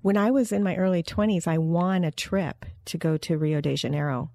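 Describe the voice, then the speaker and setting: A woman hosting an interview in a casual, chatty and expressive way, with an informal storytelling style. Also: lowish voice, moderate pace, dry recording. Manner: informal, expressive, acts out quoted dialogue. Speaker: interview host. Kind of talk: casual chat, animated anecdote telling.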